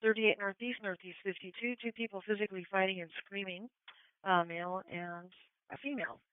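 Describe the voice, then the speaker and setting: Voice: medium pitch, steady tone, fairly quick, clear, resonant tone, dry recording. A dispatcher on police radio, giving calls to units in clipped, procedural phrases. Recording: tinny narrowband police scanner radio.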